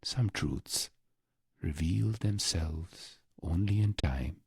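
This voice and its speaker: Very deep, husky, slow male meditation guide. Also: dry recording, clean studio sound. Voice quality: low, breathy, husky voice with creak. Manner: hushed, unhurried delivery in short phrases with long pauses.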